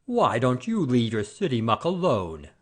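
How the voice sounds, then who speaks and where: An actor playing a proud, sullen gentleman gambler, curt and stubborn, in a satirical audio play. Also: mid-range voice, huge pitch swings, unhurried.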